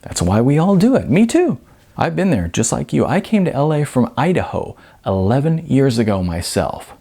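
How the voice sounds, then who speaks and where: Blunt, world-weary restaurant manager, read by a man, lively pitch. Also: normal speed, creaky, breathy edge, heavily acted. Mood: frank, advising. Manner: frank, explanatory, emphatic.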